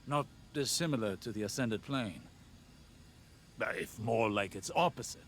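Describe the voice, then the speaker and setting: An actor playing a scholarly, reflective man in a video game, formal and deliberate. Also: fairly low pitch, fairly bright tone, a little hiss or hum. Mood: pensive. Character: scholarly, reflective man reporting on arcane research. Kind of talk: scripted video game monologue.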